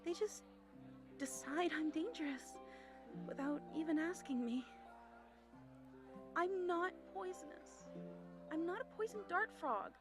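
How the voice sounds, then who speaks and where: A woman voicing a shy, soft-spoken, hurt octopus-like girl in a visual novel. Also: extremely high pitch, measured pace, long pauses, over music. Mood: hurt, defensive. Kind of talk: visual novel character reading.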